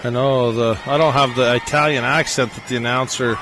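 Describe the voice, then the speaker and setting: Man calling high school basketball play-by-play. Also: steady tone, sharp tone, faint music behind. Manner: rapid name calls, rising on made shots. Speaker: play-by-play announcer. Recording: game broadcast with gym noise. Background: crowd and court noise of the game.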